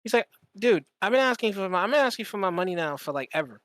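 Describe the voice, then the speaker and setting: A man's voice: high-pitched, expressive, fast talker, a bit bright, no echo. A man chatting casually as a podcast host, telling stories in an emphatic, profane way and repeating phrases for effect. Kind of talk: casual chat, animated storytelling.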